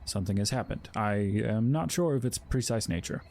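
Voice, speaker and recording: An actor playing a solemn, anxious guardian spirit of fear, speaking formally and haltingly in an audio drama. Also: male voice, lowish voice, lively intonation, a little mellow. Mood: mournful, apologetic. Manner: formal, halting, stilted wording. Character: Fear, solemn, anxious male emotion spirit, self-sacrificing protector.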